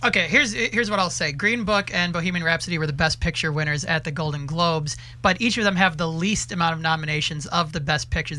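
A man speaking as a guest film critic in an animated studio discussion, with an effusive, enthusiastic manner. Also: on the high side. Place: radio studio.

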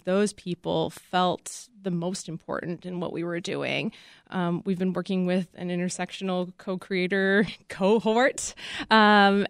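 Woman, interview guest, medium pitch, casual conversational answers, dry close-up sound. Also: clear, resonant tone, no echo. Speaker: interview guest, casting director.

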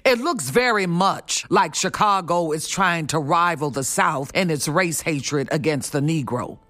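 A woman's voice: low-pitched, animated, unhurried, a bit bright. A woman playing a bold activist journalist in a radio drama, speaking in a pointed, oratorical, accusing way. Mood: grave, urgent. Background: music.

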